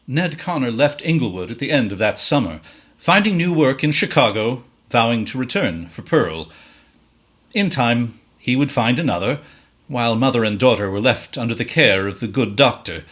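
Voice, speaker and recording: A man playing the narrator of a dark period tale, in formal, dramatic scripted storytelling. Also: medium pitch, animated, normal speed, close to an everyday voice. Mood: measured. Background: musical accompaniment.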